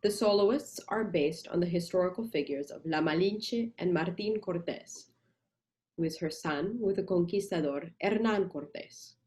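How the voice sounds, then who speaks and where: A young adult woman, a mezzo-soprano doctoral student, giving a formal lecture in a measured, presenting manner. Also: on the low side, a little mellow. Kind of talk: lecture recital narration.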